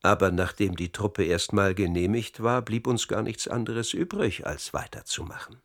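Low-voiced man, a grave conspiracy insider. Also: moderate pace. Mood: grave, measured. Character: grave male insider recounting a secret European conspiracy. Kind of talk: radio drama monologue.

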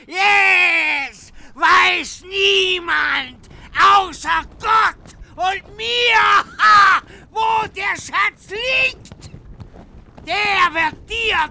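An actor playing a defiant, grim male warrior in a radio play, speaking scornfully and at times laughing and shouting wildly. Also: extremely high pitch, exaggerated voice.

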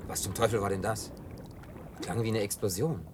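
Male actor voicing a gruff, bashful ex-sailor near forty, film dub. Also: on the low side, fairly even intonation, normal speed, darkish tone, slight background noise, lightly acted. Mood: grumbling, bashful. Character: scruffy gray ex-sailor around forty, gruff. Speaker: dubbing actor.

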